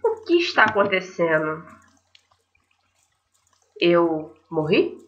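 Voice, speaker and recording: A woman's voice: lowish voice, sing-song, slow pace, a bit bright. A young adult woman with a Brazilian accent, hosting a casual let's play, chatting to viewers and reading game text aloud. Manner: chatty, addresses viewers with frequent tag questions. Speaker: gaming video host. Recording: slightly dull mic over game music. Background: faint game music.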